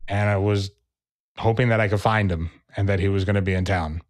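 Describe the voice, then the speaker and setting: A man speaking as a podcast guest, a relaxed storyteller in casual interview chat. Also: sits low, brisk. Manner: relaxed storyteller, acts out quoted people. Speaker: percussionist and educator, podcast guest.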